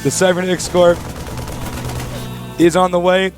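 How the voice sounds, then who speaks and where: A young man calls a live esports match from a packed studio, his voice sitting fairly high for a man. He hypes the players like a ring announcer and keeps tossing jokes to his co-caster. Faint music plays underneath. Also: level tone, unhurried. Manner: hyped, joking, announcer-like. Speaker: esports commentator. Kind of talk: live play-by-play commentary.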